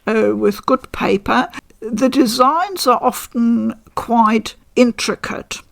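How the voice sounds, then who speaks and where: A woman giving a measured, thoughtful and appreciative review in a casual explanatory style. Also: a little high, vocal fry, no room sound. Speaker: reviewer presenting a visual novel unboxing.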